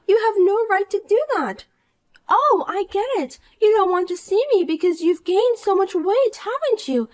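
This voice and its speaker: A woman's voice: very high-pitched, lively intonation, moderate pace, voice pushed up. A woman voicing a vain, spiteful young woman who was once the most popular girl at school, talking down to an old classmate. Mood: taunting. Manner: condescending, insulting, bossy. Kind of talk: animated story dialogue.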